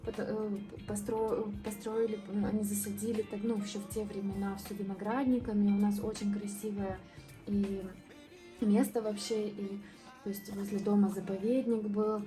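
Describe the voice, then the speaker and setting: A woman's voice: medium pitch, flat delivery, moderate pace, music in the background. A young woman from southern Moldova, chatting casually and rambling with many fillers and restarts while she paints for her vlog. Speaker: art vlogger.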